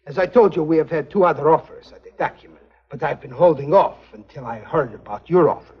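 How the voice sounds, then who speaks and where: An actor playing a jovial, slippery, greedy European go-between who sells secrets in a 1950s television spy drama, with formal phrasing. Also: male voice, fairly high pitch, fairly quick, husky. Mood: businesslike, greedy. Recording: dull 1950s TV film soundtrack.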